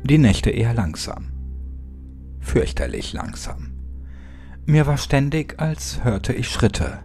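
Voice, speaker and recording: A man reading a scripted horror story as an audiobook narrator, measured and suspenseful, with dramatic pauses. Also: mid-range voice, very animated, darkish tone. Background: music.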